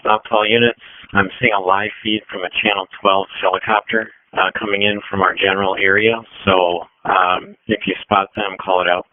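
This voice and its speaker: Male radio coordinator, low monotone, procedural and halting, dry narrowband police transmission. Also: no echo. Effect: police two-way radio. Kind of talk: radio dispatch traffic.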